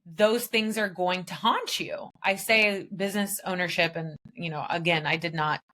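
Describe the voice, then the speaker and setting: A woman with a slightly low voice talks as a podcast guest. She is emphatic and casual, stacking point after point with conviction and now and then dropping a mild swear. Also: normal speed, pushed and bright. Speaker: guest, business founder. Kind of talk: motivational interview talk.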